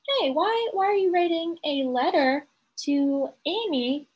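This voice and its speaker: A woman's voice: high-pitched, animated, measured pace, darkish tone, pitched above the performer's own voice. A woman voicing a boy's practical mother in a picture book, questioning and instructing her child.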